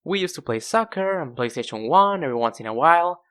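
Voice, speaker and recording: A man's voice: fairly high pitch, animated, quick pace, fairly bright tone. A young man narrating in a casual, self-deprecating, conversational way, like a scripted storytime video.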